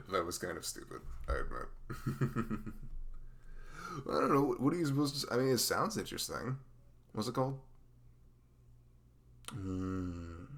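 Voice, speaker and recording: A man playing a dorky, playful male best friend in an ASMR roleplay, with a velvety voice and a halting, rambling, teasing manner. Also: lowish voice, very animated, creaky, a bit bright, exaggerated voice.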